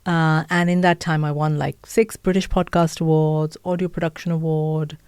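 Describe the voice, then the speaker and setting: Indian woman speaking low and mellow. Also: level tone, moderate pace, clean tone, no echo, clean studio sound. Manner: earnest storytelling with listing and self-talk quotes. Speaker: podcaster and author guest. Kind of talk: candid interview conversation.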